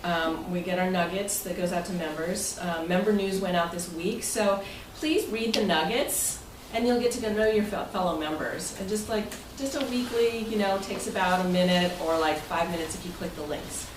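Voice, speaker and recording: A woman's voice: on the low side, moderate pace, few pauses. A woman giving an informal, chatty and somewhat rambling presentation as president to members at a club meeting. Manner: chatty, rambling, loosely phrased. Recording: roomy, noisy recording from far off.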